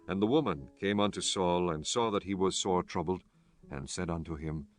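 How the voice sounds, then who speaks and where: A man giving measured, formal narration for a scripture audiobook. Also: low-pitched, faint music behind.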